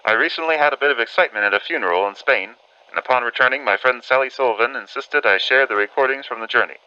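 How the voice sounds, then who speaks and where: An actor playing a wry, reluctant but dutiful 1940s radio correspondent in an audio drama, with old-fashioned announcer phrasing. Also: male voice, mid-range voice, quick pace, pushed and bright, clean tone. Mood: narrating, composed. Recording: dull band-limited audio with music behind.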